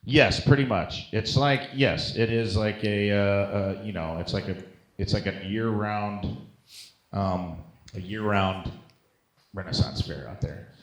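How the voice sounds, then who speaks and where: A male comedian speaks in a fairly low voice, with strong room echo around it. He tells stories in a casual, sweary, conversational way, often laughing through them as he would on a live show. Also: a little slow. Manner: casual, profane, laughs while telling stories. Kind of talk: comic storytelling, casual banter. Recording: roomy, echoing live-show sound.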